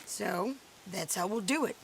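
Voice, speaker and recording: A woman's voice: medium pitch, unhurried, a little hiss or hum, natural voice. An actress playing a tough, determined frontier woman with an American Western accent in a video game, blunt and headstrong. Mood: resolute. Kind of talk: dramatic video game acting.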